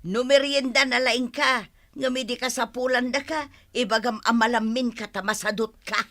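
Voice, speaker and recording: A woman's voice: a little high, fairly even intonation, moderate pace, pushed and bright. A woman acting an emotional, plain-spoken village mother in a drama serial. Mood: scolding.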